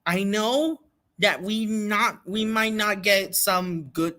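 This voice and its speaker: A man hosting a casual livestream reaction, talking in an excitable, repetitive and emphatic way. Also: high voice, slow pace. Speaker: livestream host reacting to a game event.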